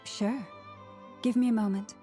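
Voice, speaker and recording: A woman's voice: on the high side, fairly even intonation, a little slow, dark tone, lightly acted. A woman playing a composed, earnest, scholarly priestess in a video game, speaking formally and precisely. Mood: thoughtful. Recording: music behind the voice. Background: background music.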